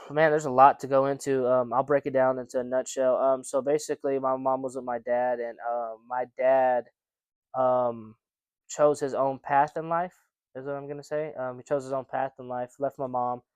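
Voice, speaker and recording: A man's voice: medium pitch, little pitch movement, moderate pace, clear, resonant tone, no echo. A young man co-hosting a casual podcast chat, rambling with lots of fillers and restarts. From United States. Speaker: podcast co-host.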